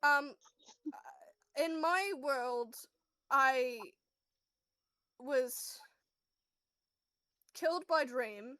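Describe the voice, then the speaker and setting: High-voiced, halting woman playing a tabletop game. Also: a bit bright, dry recording, low-quality recording. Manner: halting, stammering. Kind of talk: casual roleplay chat.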